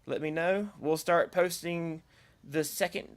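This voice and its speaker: A man's voice: on the high side, steady tone, unhurried. A man making casual, unscripted announcements as host, rambling and hesitant, often rephrasing himself. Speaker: host of an online creative group's announcement video. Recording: music playing behind the voice. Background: electronic dance remix music.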